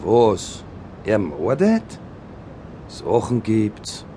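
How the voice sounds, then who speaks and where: A man's voice: on the low side, wildly swooping pitch, very slow, mellow, a little hiss or hum, exaggerated voice. An actor with a Viennese accent playing a contemptuous, sardonic doctor who looks down on his neighbours, in a radio play. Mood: sardonic, disdainful. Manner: sardonic, disdainful. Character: contemptuous, sardonic male doctor, apartment tenant.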